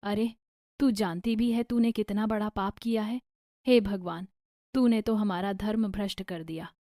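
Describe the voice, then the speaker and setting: A woman's voice: medium pitch, fairly even intonation, normal speed, warm tone, dry recording, clean studio sound, close to an everyday voice. A woman voicing a pious middle-aged Indian mother-in-law who scolds in dramatic, scandalized exclamations for a moral-story cartoon. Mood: scandalized, scolding. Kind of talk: animated moral-story dialogue.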